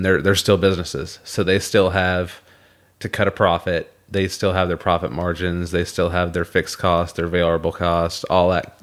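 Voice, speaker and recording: A young man with a scratchy throat, co-hosting a casual podcast and explaining things in a measured way. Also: low-pitched. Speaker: podcast co-host.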